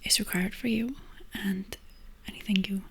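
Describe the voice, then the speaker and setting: A woman whispering softly as she guides an ASMR energy-healing session in a loose, chatty way. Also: medium pitch, fairly even intonation, normal speed, background noise. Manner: soft unvoiced whisper, loose and chatty with many fillers. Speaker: energy healer and ASMR creator.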